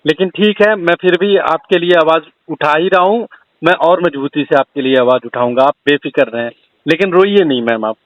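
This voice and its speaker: A man's voice: fairly high pitch, moderate pace, slight background noise. A man, politely reassuring and sympathetic in a phone conversation. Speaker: video creator taking a teacher's call. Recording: muffled recorded phone call.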